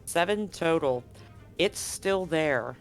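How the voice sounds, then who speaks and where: This is a game master running a live tabletop horror game from a home setup. The delivery is easygoing and joking, shifting into slower, measured narration for the scene, while faint music plays in the background. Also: mid-range voice. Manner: relaxed, joking, slows for vivid scene narration.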